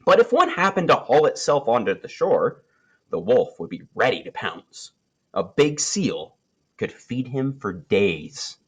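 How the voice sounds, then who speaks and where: A man reading a children's nature story aloud, with expressive, emphatic phrasing and dramatic pauses. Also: mid-range voice, slightly rough, highs cut off.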